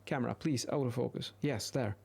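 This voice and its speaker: A man in his thirties with a Swedish accent gives an informal explanation in a halting way, repeating words, close to a studio microphone in a small room. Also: medium pitch, gravelly, darkish tone, breathy edge. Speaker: video host, acoustics instructor. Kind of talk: informal explainer while sketching. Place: small indoor home office. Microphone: close boom-arm studio microphone.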